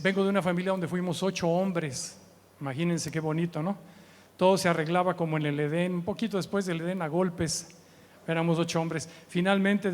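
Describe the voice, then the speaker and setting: A man in his early seventies with a Mexican accent, giving an informal, conversational church teaching with humorous anecdotes and frequent tag questions. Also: a little high. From Mexico City, Mexico. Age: early 70s. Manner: conversational, humorous, often seeks agreement with short tag questions. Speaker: guest preacher and missions trainer. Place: church meeting hall. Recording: long hall reverb from church sound.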